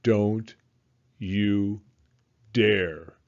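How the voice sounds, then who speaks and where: A man's voice: fairly low pitch, fairly even intonation, very slow, a little mellow, lo-fi sound, pitched below the performer's own voice. A man voicing a sinister, possessive living crayon from a storybook, with a menacing, emphatic delivery. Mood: threatening.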